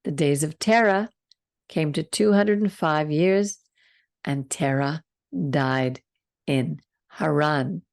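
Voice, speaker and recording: A low-voiced older woman reads scripture aloud on a video call, slow and unhurried, stopping now and then to comment. Her voice has a gravelly edge. The sound is close and dry. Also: dry recording. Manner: slow, calm reading with side comments. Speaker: Torah study host. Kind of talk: reading scripture and teaching.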